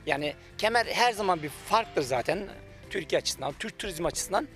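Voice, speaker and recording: A middle-aged man from Turkey giving an on-camera interview outdoors by a beach as a cafe manager, speaking into a handheld mic with long drawn-out fillers and confident claims. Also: high voice, animated, a bit bright, soft background music. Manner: hesitant, many drawn-out fillers, self-assured claims. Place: outdoors by a beach under trees. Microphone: handheld reporter mic.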